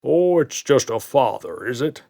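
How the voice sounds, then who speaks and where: Man doing a gravelly, jovial stout monster. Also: mid-range voice, animated, unhurried, mellow, voice pushed up. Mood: unworried. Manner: jovial, chummy, self-assured. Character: stout, friendly, confident tusked green monster. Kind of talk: children's audiobook character.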